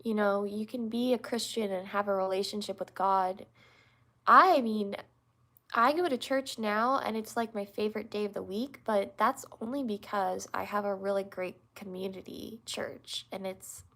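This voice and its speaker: A woman's voice: medium pitch, a bit bright. A young woman who is a little congested, hosting a livestream and talking casually and earnestly about faith, answering viewers with frequent fillers and restarts. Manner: rambling, many restarts and fillers. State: congested from allergies.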